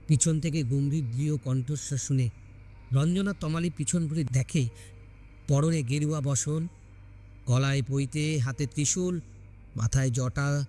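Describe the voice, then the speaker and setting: A man narrates a dramatic audio story in a somewhat high-pitched voice. He delivers it as a scripted storyteller, reading the tale aloud. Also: unhurried, long pauses, warm tone.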